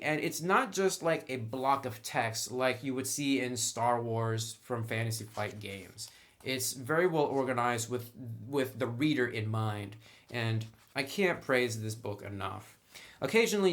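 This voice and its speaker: A man with a medium-pitched voice talks through a tabletop game in a casual review style. He explains things conversationally and gives his own opinions as a reviewer. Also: a bit bright.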